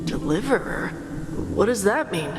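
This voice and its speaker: Impatient young girl, very lively intonation, informal, curious, game dialogue, hall reverb, loud music. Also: medium pitch, unhurried. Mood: impatient curiosity. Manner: informal, eager, expressive. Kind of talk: video game voice acting.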